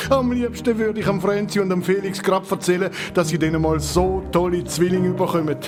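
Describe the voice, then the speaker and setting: A man's voice: sits high, fairly even intonation, normal speed, close to an everyday voice. Man acting proud sentimental grandpa, Swiss German accent, exclaiming, children's audio drama, music behind. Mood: moved, affectionate. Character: proud, affectionate grandfather inventor of twins.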